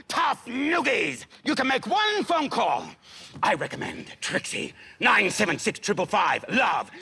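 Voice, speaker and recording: A man's voice: very high-pitched, gravelly, sharp tone, exaggerated voice. An actor playing a hammy, unhinged policeman with a theatrical, mock-tough delivery in a campy sci-fi TV show. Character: hammy, unhinged male cop in high heels. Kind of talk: campy science fiction television acting. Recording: noisy TV episode clip.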